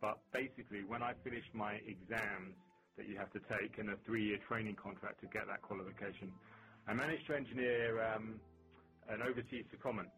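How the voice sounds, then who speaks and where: Low-voiced London man, noisy line. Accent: London English. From England. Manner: measured, with frequent ums and restarts. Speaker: chief financial officer guest. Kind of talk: interview answer, reflective.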